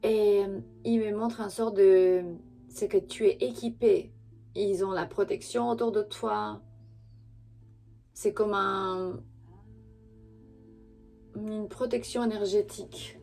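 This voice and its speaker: A woman who is not a native French speaker gives an intuitive tarot reading straight to the listener, halting as she searches for words. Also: medium pitch, fairly even intonation, vocal fry, mellow, faint music behind. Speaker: spiritual tarot reader and channel host.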